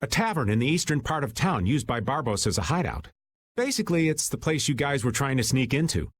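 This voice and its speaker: Game know-it-all adventurer, middle pitch, lively intonation, easygoing, slight background noise. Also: male voice, a little fast, breathy edge. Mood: casual, informative. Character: worldly, knowledgeable male adventurer, easygoing explainer. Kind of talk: video game voice acting, exposition.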